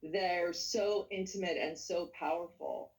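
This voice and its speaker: A woman's voice: fairly low pitch, measured pace. Moderator woman, distant video-call voice. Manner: warm affirming listener, frequent mm-hmm. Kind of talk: moderating a panel Q&A.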